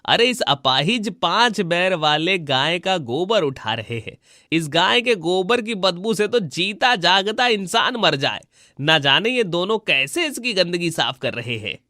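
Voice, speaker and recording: A man's voice: high voice, sing-song, a little slow, bright, forceful tone, no echo, studio quality, full character voice. A man voicing a gossiping village neighbour in a cartoon story, exclamatory and emphatic in manner. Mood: mocking.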